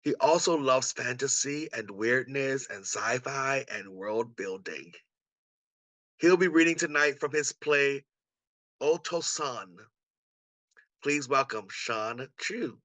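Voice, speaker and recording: A man with a bright, crisp-edged voice hosts an online event. He is enthusiastic and welcoming as he introduces guests and asks them questions. The sound is dry, with little room in it. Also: mid-range voice, animated, slow pace, no echo. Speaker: co-host, artistic producer. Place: indoors on a video call.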